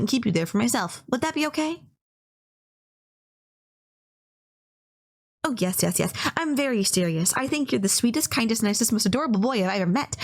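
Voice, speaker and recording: Young yandere woman female performer, audio roleplay: mid-range voice, polite, shy, smitten, earnest rambler with slight stammer. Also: medium pitch. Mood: sweetly earnest. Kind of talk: first-person romantic audio roleplay, speaking to listener.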